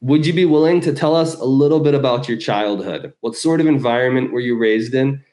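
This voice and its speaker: A man's voice: medium pitch, fairly quick, dark tone. A man hosting a podcast interview, friendly and encouraging, often repeating words as he starts a sentence. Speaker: podcast host. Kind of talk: casual interview.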